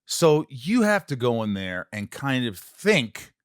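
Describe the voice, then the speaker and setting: A man's voice: mid-range voice, sing-song, slow pace, dry recording, studio quality. A man hosting a casual conversational interview, asking questions and musing aloud. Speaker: podcast host, interviewer.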